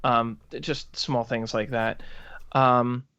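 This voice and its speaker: A man's voice: medium pitch, little pitch movement, slow, pushed and bright, smooth, no room sound. A man co-hosting a podcast, chatting casually in a lo-fi recording. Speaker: podcast co-host. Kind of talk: casual chat.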